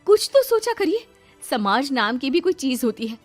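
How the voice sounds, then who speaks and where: Woman as high-pitched, exasperated young daughter-in-law. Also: very animated, normal speed, soft background music. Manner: plaintive, complaining.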